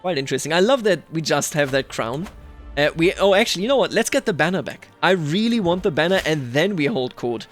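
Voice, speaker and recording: A man's voice: a little high, expressive, brisk. A man hosting a gaming video, thinking aloud in a musing way as he roleplays a strategy game. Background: music.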